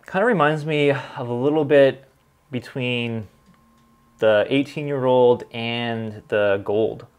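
A man in his thirties with a Canadian accent, chatting casually as a channel host, relaxed and full of ums as he reads comments and tastes whiskey. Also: medium pitch, slow pace, smooth. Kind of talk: casual vlog, reading comments and tasting. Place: small home studio with bottles on a desk.

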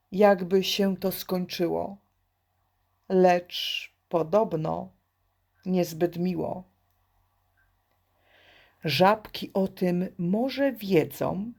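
A woman reading a children's poem expressively, with theatrical, deliberate phrasing and pauses. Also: on the low side, gravelly, a little mellow, slightly rough. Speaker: reader.

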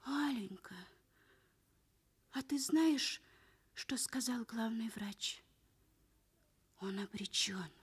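A blunt, worried young friend in a Soviet radio drama. Her voice is high, and she draws her words out slowly and with concern. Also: breathy edge, heavily acted. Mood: hushed.

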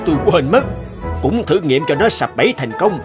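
A man's voice: fairly high pitch, animated, normal speed, music in the background, lightly acted. A man playing an earnest, obsessive middle-aged village farmer and inventor in a cartoon, explaining things earnestly. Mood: eager, absorbed. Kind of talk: cartoon dialogue.

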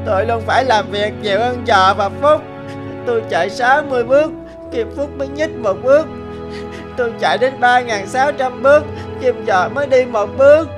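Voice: medium pitch, fairly quick, lightly acted. Cartoon clock hand, put on by a voice actor: weary, wronged, plaintive grumbler, music underneath. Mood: indignant. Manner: plaintive, complaining at length. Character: aggrieved clock second hand, weary, self-pitying, overworked. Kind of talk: animated fairy-tale cartoon dubbing.

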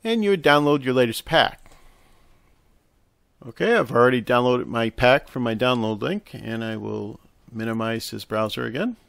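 A man narrating a casual software tutorial, explaining step by step in an instructional way with hesitant pauses. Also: medium pitch, creaky, no room sound. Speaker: tutorial host. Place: close, dry indoor voice-over for a screen recording.

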